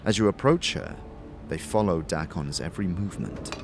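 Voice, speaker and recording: Lively, theatrical male fantasy narrator over music. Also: low-pitched, vocal fry. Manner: measured, descriptive, theatrical. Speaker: narrator and game master. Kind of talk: dramatic scripted fantasy narration.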